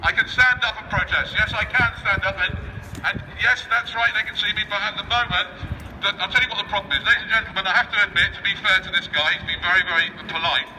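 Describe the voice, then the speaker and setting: A distant, noisy outdoor recording of a man with a high-pitched voice speaking off the cuff through a megaphone. He works the street like a protester, cheeky and provocative, throwing rhetorical questions at whoever is passing. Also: steady tone, fast. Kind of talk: improvised street speech and banter with passers-by. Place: outdoors on a busy city street. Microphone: megaphone outdoors, picked up by a camera at a distance.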